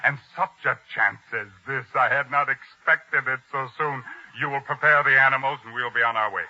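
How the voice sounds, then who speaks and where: A man's voice: mid-range voice, normal speed, pushed and bright. An actor playing an ambitious army captain in the service of a king, in a 1950s radio drama. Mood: scheming, eager. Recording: dull old radio recording.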